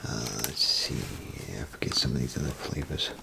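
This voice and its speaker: A man playing a chatty, folksy bathroom attendant in a soft ASMR role play, speaking in a gravelly, breathy half-whisper with rambling, hesitant phrasing. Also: extremely low pitch, a little fast, voice pushed down, exaggerated voice. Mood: chatty, explaining. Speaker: ASMR performer. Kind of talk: ASMR role play, softly spoken to the listener. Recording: close ASMR recording, clear background noise.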